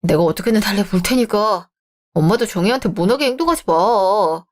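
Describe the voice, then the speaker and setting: A woman's voice: medium pitch, animated, brisk, close to an everyday voice. A woman voicing a young man caught between his mother and his fiancée in a radio drama, placating and wheedling. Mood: frustrated.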